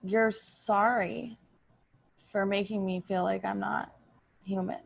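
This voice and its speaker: A woman's voice: medium pitch, fairly even intonation, slow, creaky, pitched below the performer's own voice, exaggerated voice. A woman playing a gushing, self-absorbed TV starlet in improvised comedy, effusive and earnest, often repeating herself. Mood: earnest, puzzled.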